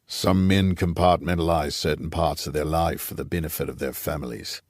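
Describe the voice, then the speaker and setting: A man voicing an aging, gruff Rhodesian patriarch, a wry and nostalgic old scout, in an audiobook. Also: rumbling low, steady tone, normal speed, no echo, clean studio sound, pitched below the performer's own voice. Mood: fatherly, reflective. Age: older.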